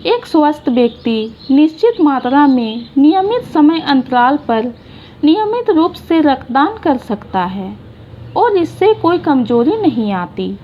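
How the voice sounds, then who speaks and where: A woman narrating a short moral story in an unhurried, gentle reading style. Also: sits high, very animated, highs cut off, slight background noise.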